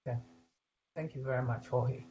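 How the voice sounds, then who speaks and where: A man moderates a video call panel, introducing each speaker in a soft, hesitant way. His voice is middle-pitched, and it sounds dull and a little distant over the lo-fi call audio, with faint noise behind it. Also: steady tone, moderate pace.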